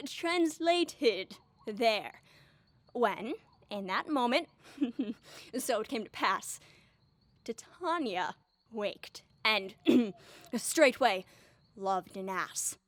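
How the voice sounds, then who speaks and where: A woman's voice: a little high, fairly bright tone, dry recording, heavily acted. A woman playing a boastful, mischievous fairy sprite servant in a Shakespeare audio drama, with playful theatrical relish. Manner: theatrical, playful, gleeful storytelling.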